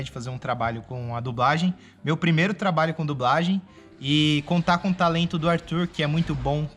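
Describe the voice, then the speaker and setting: A Brazilian man with a fairly high-pitched voice chats in an interview. He is effusive and grateful, speaking informally as a newcomer to voice acting. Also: fairly bright tone. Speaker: novice voice actor. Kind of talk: casual interview talk.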